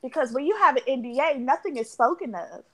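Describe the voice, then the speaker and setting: A woman in her thirties co-hosting a podcast, animated and profane, who laughs often in casual chat. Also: high-pitched, fast. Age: 30s. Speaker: podcast co-host.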